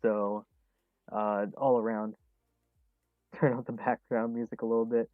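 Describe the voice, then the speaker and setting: A man's voice: medium pitch, unhurried, no echo, narrow, tinny audio. A man in his early twenties hosting a casual trading livestream, answering viewer questions with frequent chuckles and fillers. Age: 20s. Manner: relaxed, chuckles often, many fillers. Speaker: stock trading livestream host.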